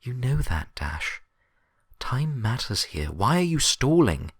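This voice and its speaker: A man's voice: mid-range voice, big pitch swings, takes it slowly, husky, no room sound, clean studio sound, pitched above the performer's own voice, heavily acted. A man voicing a stern, pragmatic female unicorn librarian in a story, reasoning firmly and grimly. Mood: grim, insistent. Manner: sharp-edged, reasoning firmly.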